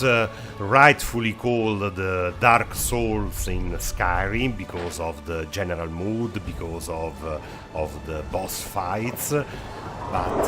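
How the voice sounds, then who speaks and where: A man who speaks English as a second language, giving hesitant, semi-scripted commentary as a game mod reviewer. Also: on the low side. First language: not English.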